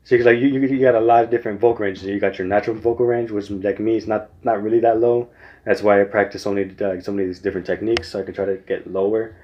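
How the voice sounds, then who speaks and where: A man's voice: lowish voice, fairly even intonation, racing, dull audio, a little hiss or hum. A young man giving casual singing tips, rambling with many restarts and fillers. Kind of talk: casual spoken tutorial.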